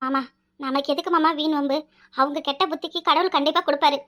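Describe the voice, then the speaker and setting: Woman playing a very shrill, outspoken young village wife. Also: fairly even intonation, quick pace, fairly bright tone. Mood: worried, musing. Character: outspoken young village wife, dutiful, protective, quick-tempered. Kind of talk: Tamil family drama dialogue.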